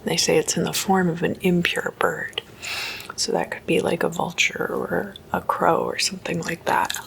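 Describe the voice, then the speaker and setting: A woman giving a whispered ASMR talk, explaining stories in a hushed, unhurried and informal way. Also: fairly low pitch, flat delivery, gravelly, rough recording. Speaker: host of a spooky ASMR series.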